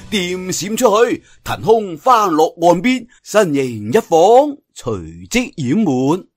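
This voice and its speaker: A clear-voiced but slightly rough man tells martial arts tales in a Cantonese accent, with wide melodic swings. His delivery is dramatic and full of exclamations, set over faint music. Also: fairly high pitch. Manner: dramatic, with exclamations and conversational asides. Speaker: storyteller. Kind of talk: animated traditional Cantonese wuxia storytelling.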